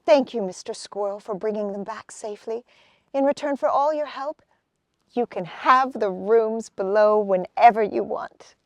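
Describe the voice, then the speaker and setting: A woman's voice: fairly high pitch, moderate pace, no echo, natural voice. A woman voicing a hospitable, forgiving acorn mother in a storybook, gracious and cheery. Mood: forgiving, grateful. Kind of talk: storybook read-aloud.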